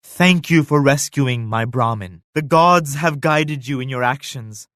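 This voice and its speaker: An actor voicing a devout, dignified Mughal emperor in a video game, speaking in a solemn, formal, measured manner. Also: male voice, mid-range voice, a bit bright, no echo, studio quality, natural voice. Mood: grateful, reverent. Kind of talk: scripted video game character lines.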